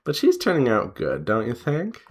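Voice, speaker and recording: A man chatting casually as he draws, rambling in an unhurried way and pausing mid-sentence. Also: mid-range voice, wildly swooping pitch, slightly rough. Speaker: artist and devlog host. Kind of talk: casual chat while drawing.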